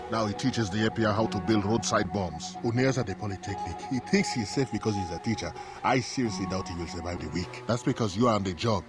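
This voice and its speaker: An actor playing a brash, suspicious militia boss in a video game, blunt and swaggering. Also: male voice, lowish voice, lightly acted. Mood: gloating. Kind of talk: video game cutscene acting. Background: music.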